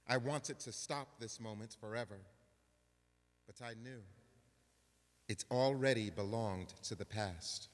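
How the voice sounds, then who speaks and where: A man giving a dramatic poetry reading in measured, deliberate phrases. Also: fairly low pitch. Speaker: actor reading aloud. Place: large indoor hall at a live reading. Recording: echoey hall with long reverb.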